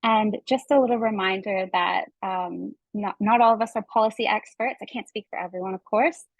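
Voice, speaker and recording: A woman's voice: mid-range voice, normal speed, vocal fry, dry recording, poor audio. A woman with a Canadian accent hosting an online workshop over a video call, talking in a friendly, informal way. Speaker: workshop host and facilitator. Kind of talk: presenting an online workshop to participants.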